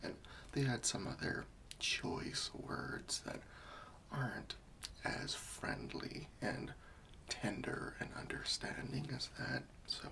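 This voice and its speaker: A man acts out a gentle professional cleanup worker for an ASMR roleplay. His breathy whisper is crisp and bright, with a very gravelly crackle underneath. He speaks hesitantly and politely, soothing the listener with patient, faintly wry tact. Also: medium pitch, slow, full character voice. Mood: tactful, reassuring.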